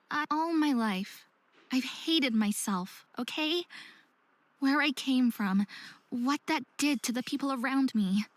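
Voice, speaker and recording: Casting: a desperate, earnest young half-faerie for a narrative game; high-pitched, dry close sound. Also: female voice, animated, slow pace, fairly bright tone, dry recording, full character voice. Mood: anguished. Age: young adult. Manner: earnest, emotional delivery. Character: troubled young hybrid faerie woman, self-hating, desperate, earnest. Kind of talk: visual novel voice acting.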